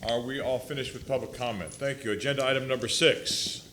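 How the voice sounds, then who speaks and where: A man chairing a city council meeting, calling votes in a procedural way, often pausing on fillers. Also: mid-range voice, fairly even intonation, noisy background. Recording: meeting chamber with audience.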